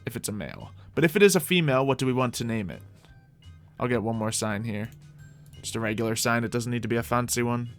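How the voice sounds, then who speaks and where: Male gaming host, medium pitch, casual and musing, talking through decisions aloud with music behind him. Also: a bit bright. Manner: musing, talks through choices to himself. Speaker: host of a gaming video series. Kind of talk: casual gameplay commentary, thinking aloud.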